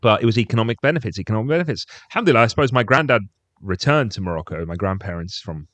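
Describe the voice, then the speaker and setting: A man with a low voice talks thoughtfully on a podcast. The recording is dry, with no room sound around him. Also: animated, racing, a bit bright, no echo. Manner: thoughtful, reflective, hedging with "you know". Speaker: podcast co-host. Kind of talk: casual chat.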